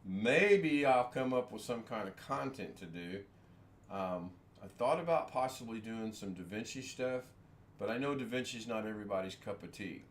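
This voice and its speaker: A man's voice: on the low side, lively intonation, normal speed, a little hiss or hum. Rambling, chuckling man hosting a home livestream. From United States. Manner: rambling, self-deprecating, chuckling often. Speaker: livestream host. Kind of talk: casual livestream chat. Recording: echoey room with faint ambience.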